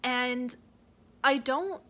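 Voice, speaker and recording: A young woman with a Canadian accent talks casually from her rocking chair at home. Her voice is bright and sits a little high, and she rambles along with lots of fillers and restarts in a relaxed vlog chat. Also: extremely slow. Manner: chatty, rambling with fillers and self-corrections. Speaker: book vlogger.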